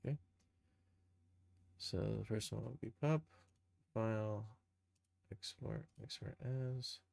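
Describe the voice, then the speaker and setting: A man giving an informal live software tutorial, thinking aloud and muttering steps to himself as he works. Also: on the low side, slow, gravelly, no room sound. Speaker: live streamer, game and cartoon developer.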